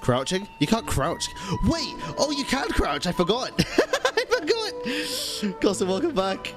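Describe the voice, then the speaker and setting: A young man reacting live as he plays a video game on stream, excitable, slangy and sweary, with game music behind him. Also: extremely high pitch, unhurried. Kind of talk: live gameplay reaction commentary.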